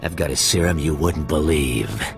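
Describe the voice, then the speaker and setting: An actor playing a cagey, sarcastic pirate doctor full of bluster in a video game, with music behind. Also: male voice, rumbling low, normal speed, gravelly. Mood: sardonic, threatening. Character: sardonic pirate doctor, cagey, bluffing, threatening lowlife.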